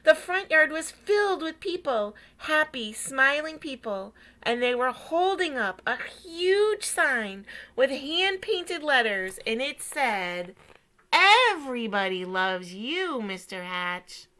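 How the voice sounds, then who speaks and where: A woman reading a picture book aloud to children in an animated, dramatic way, dropping into hushed asides. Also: high voice, takes it slowly, hardly any pauses. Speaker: children's story reader.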